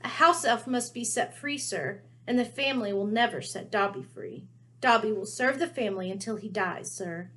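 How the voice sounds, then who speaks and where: A woman voicing a small, servile, fearful house elf with a squeaky, pleading voice in a storybook reading. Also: moderate pace, pushed and bright. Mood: anxious, servile. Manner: pleading, refers to self in third person.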